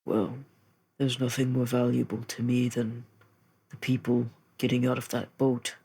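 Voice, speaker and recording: Male actual-play podcaster, gravelly with some fry, earnest and considerate, improvising in character. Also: medium pitch, completely flat delivery, measured pace, mellow. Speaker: tabletop role-play podcast player. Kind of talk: in-character improvised role-play and casual table talk.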